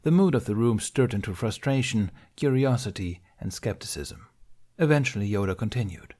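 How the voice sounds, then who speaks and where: Lowish-voiced man narrating fiction. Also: lively intonation, moderate pace, warm tone. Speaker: audiobook narrator. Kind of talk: scripted dramatic fiction narration.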